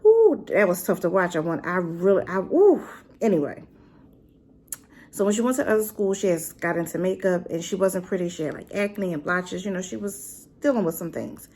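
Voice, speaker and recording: Chatty woman with a lowish voice. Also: normal speed. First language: not Korean. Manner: chatty, rambling, lots of fillers and asides; animated, with rhetorical questions. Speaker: drama reviewer. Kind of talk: casual spoken review.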